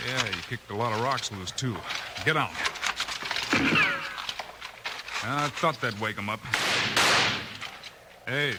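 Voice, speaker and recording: A man's voice: medium pitch, unhurried, lightly acted. An actor playing a shrewd, plain-spoken Old West lawman with an American accent in a 1950s radio western. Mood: alert. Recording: very noisy 1950s radio recording.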